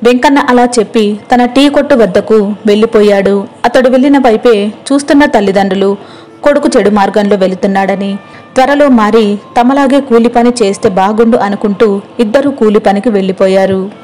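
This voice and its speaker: A woman's voice: medium pitch, fairly quick, a little mellow. A woman reading scripted narration as the storyteller of a moral tale.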